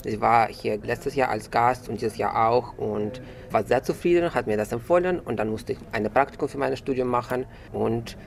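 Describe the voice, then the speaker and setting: Junger Mann mit knarziger Stimme. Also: male voice, mid-range voice, normal speed, gravelly, a little hiss or hum. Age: 20s. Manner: hesitant, non-native German phrasing. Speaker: festival intern.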